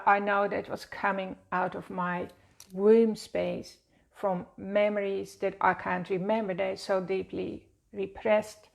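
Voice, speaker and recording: A woman with a Dutch accent talks softly through a guided meditation. She leads at an unhurried, soothing pace, with a gravelly fry running through her mid-pitched voice. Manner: slow, gentle, soothing guidance. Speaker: wellness teacher. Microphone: seated at a desk, speaking to camera.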